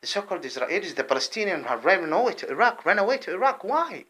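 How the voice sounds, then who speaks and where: Man, fiery monologue to camera, repeats himself, jumps from loud bursts to low mutters, bright and tense tone. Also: expressive, fast, slightly rough. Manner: heated, repetitive, swings between loud outbursts and low muttering.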